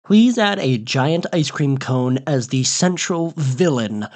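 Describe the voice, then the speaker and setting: Slow-talking man, animated co-host. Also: fairly high pitch. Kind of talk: casual chat.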